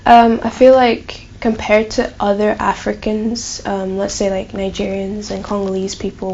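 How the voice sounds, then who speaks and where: A woman's voice: mid-range voice, lo-fi sound, slight background noise. A woman in her twenties with a light Glaswegian Scottish accent, answering interview questions casually with many ums and likes. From Burundi. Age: 20s. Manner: chatty, many fillers like um and like. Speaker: student interviewee.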